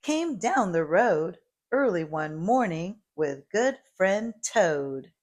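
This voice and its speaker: A woman reads a picture book for preschoolers. Her delivery is very slow and deliberate, with lively swings in pitch and an expressive storytelling manner. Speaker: children's read-aloud host.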